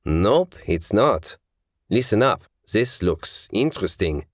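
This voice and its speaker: A man playing a gruff, curt, commanding fighter in an audiobook. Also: lowish voice, big pitch swings, takes it slowly, no room sound, clean studio sound, full character voice. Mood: intent. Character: gruff, commanding male fighter hunting vampires.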